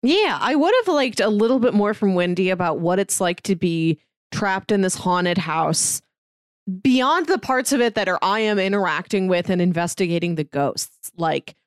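A woman with a mid-pitched voice, recorded dry with no room sound. She talks casually as a podcast co-host, giving her impressions in a review discussion. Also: lively intonation, normal speed, clear, resonant tone, no room sound.

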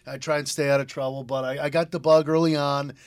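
Guy in his 50s from connecticut, kinda high voice, rambly casual radio guest, dry sound. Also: level tone, fast, clear, resonant tone, no echo. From Connecticut, United States. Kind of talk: casual interview, personal storytelling.

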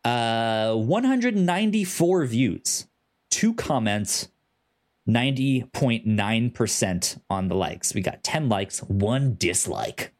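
A man with a stutter hosting a casual podcast, halting with many ums and restarts. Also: medium pitch, big pitch swings. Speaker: podcast host.

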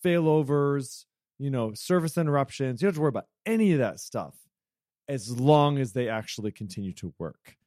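A man's voice: mid-range voice, expressive, fairly quick, a little mellow, dry recording, studio quality. A man co-hosting a podcast, telling stories in a rambling, self-deprecating way. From United States. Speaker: podcast co-host. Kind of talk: casual chat.

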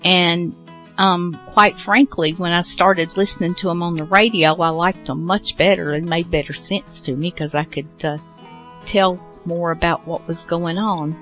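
A woman's voice: on the low side, fairly even intonation, a little slow, a bit bright, soft background music. A middle-aged or older woman hosting a radio show with casual, rambling introductions.

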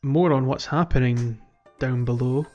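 A man's voice: mid-range voice, a little slow, mellow, faint music behind. A man co-hosting a podcast in a casual, conversational way about a video game. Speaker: podcast co-host.

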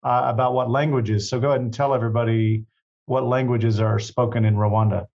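A man's voice: medium pitch, fairly even intonation, a little fast. Chatty male host, dull lo-fi sound. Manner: chatty, repeats "you know" and "right?". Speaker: podcast host. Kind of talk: interview conversation.

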